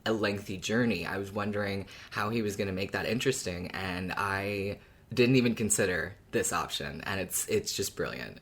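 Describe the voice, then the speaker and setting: A podcast speaker in a casual fan discussion, talking in an animated, colloquial way. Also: deep voice, moderate pace, husky. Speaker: podcast co-host or guest.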